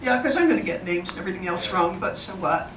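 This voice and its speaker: A woman's voice: fairly low pitch, expressive, fast, a bit bright. A woman moderating an in-person event, talking to the audience in a chatty, enthusiastic way and introducing speakers. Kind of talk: informal presentation to an audience. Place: event room. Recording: roomy, distant mic, background noise.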